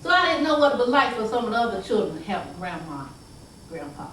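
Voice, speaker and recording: An older woman telling family stories in a folksy style to a live audience, chuckling through drawn-out asides. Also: fairly high pitch, sing-song, a bit bright, a little hiss or hum. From North Carolina. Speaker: storyteller. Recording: echoey room heard from a distance.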